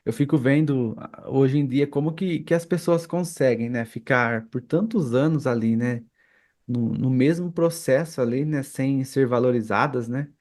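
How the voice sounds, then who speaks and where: A man's voice: medium pitch, normal speed, darkish tone. A Brazilian man co-hosting a podcast, commenting casually and often ending phrases with a checking tag. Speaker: podcast co-host.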